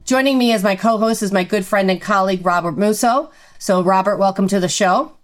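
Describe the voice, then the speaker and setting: A woman's voice: medium pitch, fairly quick. Upbeat woman hosting a podcast. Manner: upbeat, scripted-sounding introductions. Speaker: podcast host.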